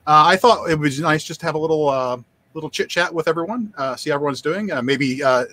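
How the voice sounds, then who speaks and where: Halting older man hosting. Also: on the high side, sharp tone. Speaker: host, developer advocate. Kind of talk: casual live-stream chat. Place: indoor home room, on a video call.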